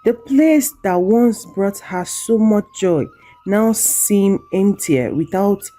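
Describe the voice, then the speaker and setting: A woman narrating a scripted folktale in a measured, dramatic storytelling manner. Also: medium pitch, animated, warm tone, faint music behind.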